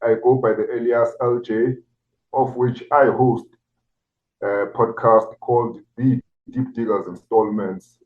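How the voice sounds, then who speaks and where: A man, a DJ, introducing himself and his work as an interview guest. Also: lowish voice. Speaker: DJ and music podcast host, as guest. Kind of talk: self-introduction in an interview clip. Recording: tinny, phone-like narrow audio.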